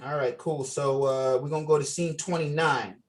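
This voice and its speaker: A man's voice: a little high, fairly even intonation, moderate pace. A man casually running a script table read over a video call, giving directions and reading out stage directions. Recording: distant mic on a video call.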